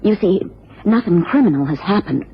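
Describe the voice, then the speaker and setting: A woman's voice: medium pitch, expressive, normal speed, close to an everyday voice. Woman playing an anxious, genteel American wife. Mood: polite, anxious. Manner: formal, earnest, careful phrasing. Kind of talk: radio drama acting. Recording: dull, noisy old radio recording.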